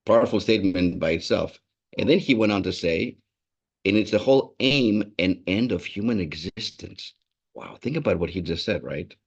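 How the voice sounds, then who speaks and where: Native Greek speaker, gravelly and low, reflective keynote manner, muffled call audio. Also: male voice, lively intonation. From Greece. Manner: measured, storytelling, with many restarts. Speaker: wellness and happiness speaker. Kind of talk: reflective talk on a video call. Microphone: video call.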